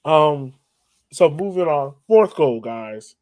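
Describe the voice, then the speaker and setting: A man's voice: a little high, lively intonation, slow, a little mellow, no echo. A man hosting a soccer podcast, chatting casually and steering the discussion along. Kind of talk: casual sports chat.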